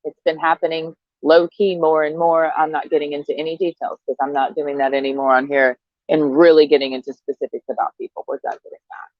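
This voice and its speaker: A woman in her thirties talks straight to camera, brash, profane and sarcastic, laughing between her lines. The sound is dry and close, a little dull in the highs. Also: flat delivery, brisk, no echo. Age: 30s. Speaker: vlogger. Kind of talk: casual monologue to camera.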